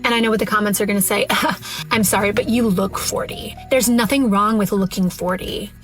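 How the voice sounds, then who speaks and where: A woman's voice: medium pitch, fairly even intonation, moderate pace, a bit bright, faint music behind. A woman in her early forties talking casually and confessionally, with a wry, exasperated, emphatic manner. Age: early 40s. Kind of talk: casual confessional monologue to camera.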